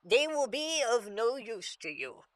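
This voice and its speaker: A woman's voice: a little high, sing-song, dry recording. A woman playing a sly, sinister old antique shopkeeper with a condescending manner, in a horror audio drama. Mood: ominous, dismissive. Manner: slow, condescending endearments.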